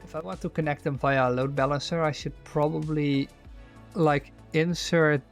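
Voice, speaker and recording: A man's voice: fairly high pitch, steady tone, normal speed, vocal fry, faint music behind. A young Dutch man with a Dutch accent, thinking aloud and chatting with viewers while playing a factory-building game on stream. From Netherlands. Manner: thinks aloud, restarts words, reads chat questions aloud. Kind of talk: gameplay commentary while reading chat.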